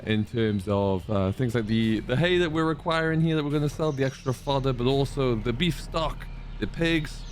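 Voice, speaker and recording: A man with a mid-pitched voice hosts a farming game roleplay in a casual, chatty way. He rambles and thinks aloud as he talks through the work. A faint layer of vehicle noise and ambience sits behind him. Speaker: host of a farming simulator roleplay series. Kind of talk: casual gameplay commentary in farmer roleplay.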